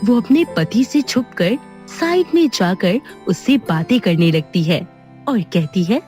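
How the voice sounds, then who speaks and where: A woman's voice: mid-range voice, animated, measured pace, a little mellow, faint music behind. A woman narrating a scripted moral story.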